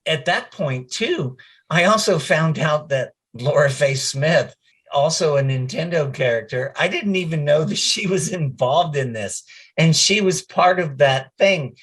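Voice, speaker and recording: Slow-talking middle-aged male interview guest. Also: fairly high pitch, expressive, a bit bright, breathy edge. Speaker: voice actor guest. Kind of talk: casual interview chat.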